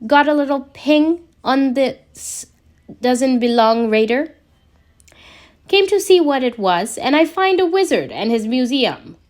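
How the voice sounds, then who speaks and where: A woman's voice: high voice, lively intonation, unhurried, a little mellow. A woman voicing a cocky, sarcastic male genius engineer in a fan fiction reading. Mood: flippant. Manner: sarcastic, quippy.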